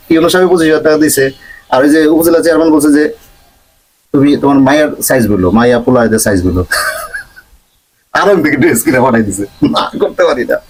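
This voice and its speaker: An actor plays a showy, put-upon big spender with a Bangladeshi accent. His voice sits high for a man and moves about animatedly as he complains in comic-drama dialogue. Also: poor audio. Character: put-upon spender boasting and moaning about festival expenses.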